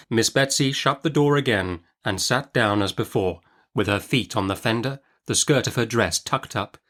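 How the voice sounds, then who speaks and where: A man narrating a Victorian novel in an expressive literary style, measured and articulate, as a storyteller would. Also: lowish voice. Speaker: audiobook narrator.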